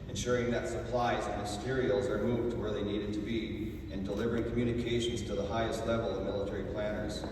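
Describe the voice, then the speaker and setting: A man delivering a formal, prepared tribute speech in a measured, solemn way. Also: fairly low pitch, a little mellow. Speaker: ceremony speaker. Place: large indoor hall at a museum. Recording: roomy echoing hall, distant mic, faint noise.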